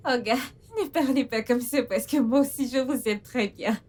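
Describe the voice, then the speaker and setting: An actress playing a humble, earnest young household employee and devoted daughter in a melodramatic film. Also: female voice, a little high, moderate pace, darkish tone, lightly acted. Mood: warm, respectful. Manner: earnest, effusively grateful. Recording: music under the voice.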